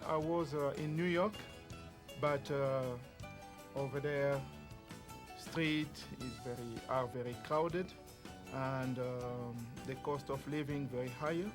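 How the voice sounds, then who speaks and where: A man speaking slowly and haltingly in a friendly way in a corporate promo interview, his being Lingala. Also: medium pitch, fairly even intonation. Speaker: transaction checker. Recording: music underneath.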